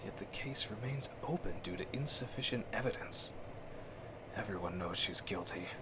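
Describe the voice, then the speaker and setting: A man with a low voice narrates a horror audio drama with deliberate, suspenseful delivery. He tells it in the second person like an old radio storyteller, over a clearly noisy bed of ambience.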